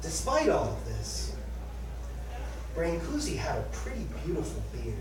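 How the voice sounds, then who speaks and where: A man's voice: fairly high pitch, huge pitch swings, measured pace. A man in his thirties giving a live literary reading of his own personal essay in a conversational, wry way. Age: 30s. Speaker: author reading from own memoir. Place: indoor book-launch reading room with audience. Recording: echoey room from far off, faint noise.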